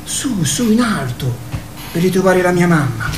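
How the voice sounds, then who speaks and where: A lost, jittery young female wild goose in a theatrical radio play. Her pitch jumps around animatedly and she breaks into honks. The room echoes, with faint noise behind. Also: sing-song, a little slow. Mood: anxious. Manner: jittery, breaks into honking cries. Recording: roomy sound with faint noise.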